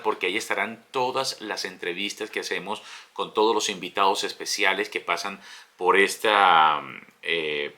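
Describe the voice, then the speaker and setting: A man's voice: fairly low pitch, sharp tone. A man hosting a casual interview, affirming his guests and promoting his channel. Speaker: host.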